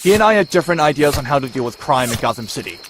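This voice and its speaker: A man playing a brash, sarcastic young male antihero in an animated comic adventure. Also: mid-range voice, rapid-fire, a little hiss or hum, rough recording. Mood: sardonic, defensive. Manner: sarcastic, sardonic.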